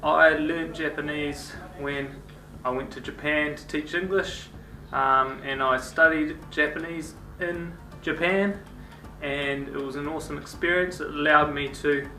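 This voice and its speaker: A man's voice: on the high side, steady tone, normal speed, bright, forceful tone. A man teacher talking in an enthusiastic, chatty way. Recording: distant echoey mic, faint music.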